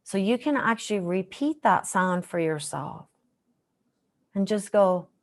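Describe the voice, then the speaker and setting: A woman teaching a guided meditation in a gentle, measured, instructional manner. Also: mid-range voice, expressive. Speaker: meditation teacher, session host.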